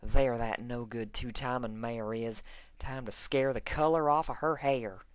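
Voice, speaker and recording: A man's voice: medium pitch, steady tone, unhurried, clear, resonant tone, no echo, pitched above the performer's own voice, close to an everyday voice. A man voicing an angry young female farm pony with a folksy southern twang in a story reading. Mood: seething. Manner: indignant, threatening, folksy.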